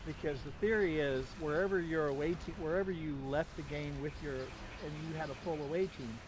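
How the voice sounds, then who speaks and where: Man chatting casually over clear background noise. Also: on the high side, brisk. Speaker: gamer on a group gaming podcast. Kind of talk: casual group chat. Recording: noisy voice-chat with clear background hum.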